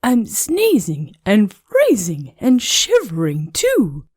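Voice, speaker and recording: A woman's voice: medium pitch, wildly swooping pitch, takes it slowly, darkish tone, husky, no room sound, clean studio sound, pitched above the performer's own voice, exaggerated voice. A woman voicing a lovable, cheerful, dramatic snowman who is forever cold, in a children's storybook read-aloud. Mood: shivering, complaining.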